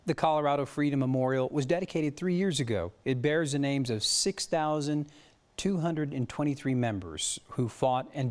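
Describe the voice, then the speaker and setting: A man's voice: mid-range voice, darkish tone. A man with an American accent reading scripted news stories as a television anchor, with some banter.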